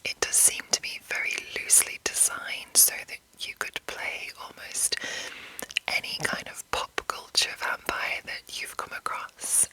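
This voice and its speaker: Whispering woman, very bright and breathy. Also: low-quality recording. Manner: rambling, unhurried, informal. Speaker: ASMR host. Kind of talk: ASMR whispered explanation.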